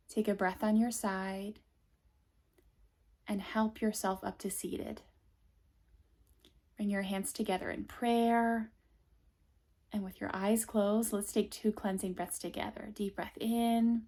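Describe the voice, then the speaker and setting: Slow-paced woman guiding meditation. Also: mid-range voice. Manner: soothing, gentle instructions in short phrases with long pauses. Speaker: yoga teacher. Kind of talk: guided meditation.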